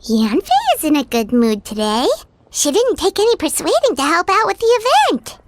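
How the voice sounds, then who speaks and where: A woman voicing a small, childlike, excitable floating girl companion in an anime game. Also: sits high, normal speed, noisy background. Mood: curious.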